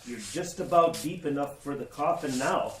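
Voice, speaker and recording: Man acting a halting, courteous judge in echo. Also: a little high, fairly bright tone, slight background noise, close to an everyday voice. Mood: cautious. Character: unflappable, courteous judge, slightly fussy. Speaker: actor in a radio play. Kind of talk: retro radio horror acting. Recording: roomy, echoing sound.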